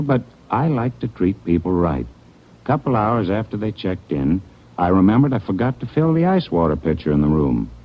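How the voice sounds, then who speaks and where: An actor playing a nervous, defensive hotel clerk who keeps pleading his innocence, in a 1940s radio drama. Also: deep voice. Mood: uneasy. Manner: jittery, pleading, quick to protest innocence. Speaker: hotel manager. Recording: dull 1940s radio broadcast.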